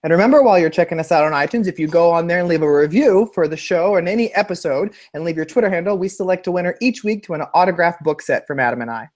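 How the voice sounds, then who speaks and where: Fast-talking American man hosting a podcast. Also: fairly high pitch, expressive. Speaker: podcast co-host, elementary school principal.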